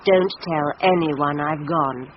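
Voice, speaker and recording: An actress playing a glamorous, charming stage star who coaxes sweetly, in a radio drama. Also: female voice, low voice, slow. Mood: charming, confiding. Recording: muffled radio broadcast, noisy outdoor effects.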